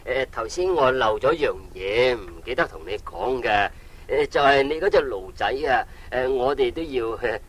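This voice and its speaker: An actor playing a sly, greedy, fawning innkeeper in a Cantonese audio storybook drama from Hong Kong, stammering and muttering to himself. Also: male voice, medium pitch, animated, moderate pace, fairly bright tone. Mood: fawning, flustered. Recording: old cassette with faint noise.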